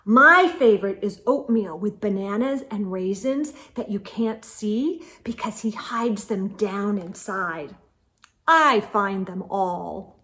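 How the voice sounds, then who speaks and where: Slow, lively woman reading a picture book. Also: mid-range voice, a little hiss or hum. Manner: gentle, expressive read-aloud with soft asides. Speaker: children's storyteller.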